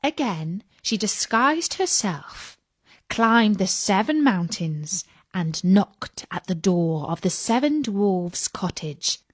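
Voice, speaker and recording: A woman's voice: medium pitch, animated, slow, no echo. A woman storyteller reading a scripted bedtime fairy tale.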